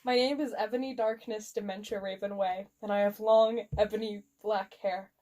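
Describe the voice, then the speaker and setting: A woman's voice: medium pitch, fairly even intonation, normal speed, mellow, lightly acted. Woman playing a melodramatic teenage goth vampire girl. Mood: self-important. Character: seventeen-year-old goth vampire schoolgirl, melodramatic and gushing.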